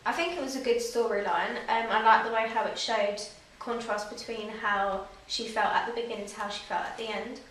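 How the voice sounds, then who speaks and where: A woman's voice: fairly high pitch, steady tone, fairly quick, hardly any pauses, fairly bright tone. An eighteen-year-old woman gives short, simple answers. She is far from the microphone in a very reverberant room, with faint ambient noise. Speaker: interviewee. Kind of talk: casual answers. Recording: very echoey room, far from mic.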